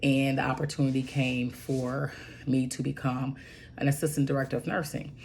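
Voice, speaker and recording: Raspy hoarse lady in her 40s, super low gravelly voice, rambles and goes off on tangents, faint noise behind. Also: little pitch movement, moderate pace, darkish tone. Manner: emphatic, keeps asking "right?". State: hoarse from bronchitis. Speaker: registered nurse. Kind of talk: casual storytelling vlog.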